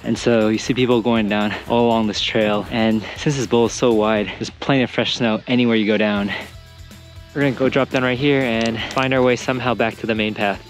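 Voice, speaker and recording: A man's voice: medium pitch, fairly even intonation, brisk. A young man narrating a casual travel vlog as he talks to an action camera. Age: young adult. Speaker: travel vlogger. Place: ski resort, partly outdoors on slopes, partly in a gondola. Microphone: action camera at arm's length. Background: music.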